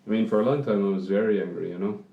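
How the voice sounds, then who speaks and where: A man's voice: lowish voice, rapid-fire, gravelly, muffled recording. A man playing a weary, caring Irishman in naturalistic radio drama, speaking plainly and reflectively, with some hesitation, in a roomy echo. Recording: roomy, echoing sound.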